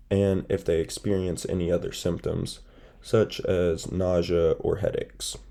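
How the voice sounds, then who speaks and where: Deep, gravelly young man, nasal. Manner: measured, formal, steady delivery. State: stuffy from a sinus infection. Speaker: student presenter. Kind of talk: read class presentation.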